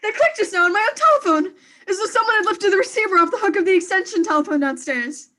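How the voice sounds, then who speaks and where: An actress playing an anxious, demanding invalid wife in a radio thriller, with a fretful, breathlessly panicky delivery. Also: female voice, extremely high pitch, lively intonation, fast talker, pushed and bright, exaggerated voice. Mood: alarmed. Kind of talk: 1940s radio thriller acting.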